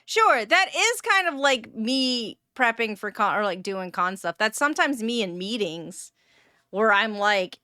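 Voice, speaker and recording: A woman's voice: on the high side, sing-song, unhurried, sharp tone, clean tone, no echo. A woman streamer casually reacting to a video, rambling and wry with lots of fillers, on a close mic.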